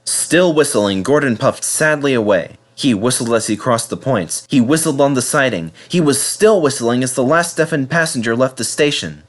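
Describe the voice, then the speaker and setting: A man reading a children's story aloud in an animated, scripted narration style. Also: mid-range voice. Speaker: storybook reader.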